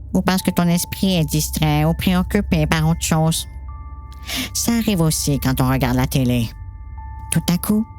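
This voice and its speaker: Calm, reassuring doctor played by a woman, lowish voice. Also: close to an everyday voice. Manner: reassuring, measured, explanatory. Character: calm, patient doctor coaching a troubled girl. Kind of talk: amateur audio drama reading.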